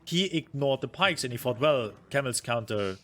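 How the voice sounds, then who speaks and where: A man's voice: medium pitch, animated, moderate pace, a bit bright. A man streaming a review of his own strategy game match, thinking aloud with many fillers, being hard on himself and answering his chat. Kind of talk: casual game commentary.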